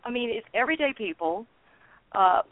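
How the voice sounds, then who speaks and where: A woman speaking on a call-in interview, her voice high for a woman and pinched by a tinny phone line. She talks earnestly and chattily with sudden bright bursts of enthusiasm. The sound is dry and close. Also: animated, normal speed, no echo. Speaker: guest, founder of a peace network. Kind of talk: casual radio interview.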